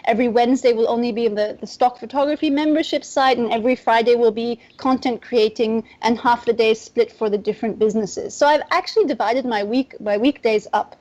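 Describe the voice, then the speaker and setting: High-pitched young woman, dull lo-fi audio. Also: a little fast, few pauses, a little mellow. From Nepal and Southeast Asia. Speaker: interview guest, entrepreneur. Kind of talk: conversational podcast interview.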